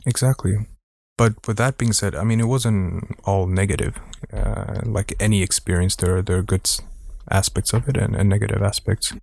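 Guy talking slow and thoughtful, really low gravelly voice, husky, lots of restarts. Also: steady tone, darkish tone, no room sound. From Sweden. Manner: thoughtful, hesitant, many restarts and fillers. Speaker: guest. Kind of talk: reflective conversational interview.

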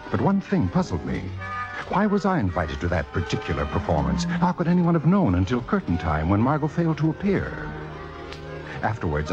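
An actor playing a suave, knowing man who narrates intrigue with pointed theatrical delivery, in 1950s radio drama style. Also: sits low. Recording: muffled vintage radio broadcast with music.

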